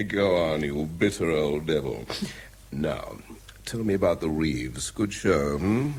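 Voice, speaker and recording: A self-important, ambitious boss in a radio play, breezy and commanding. His mid-pitched voice swings widely and has a slightly husky edge. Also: expressive, takes it slowly, full character voice. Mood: hearty, confident. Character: smooth, self-important, ambitious male government research boss. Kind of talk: radio drama dialogue.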